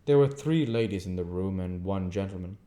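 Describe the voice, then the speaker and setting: Male amateur audiobook reader, low register, very soft dark tone, narrating classic fiction. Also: animated, fairly quick. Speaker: audiobook reader and channel host.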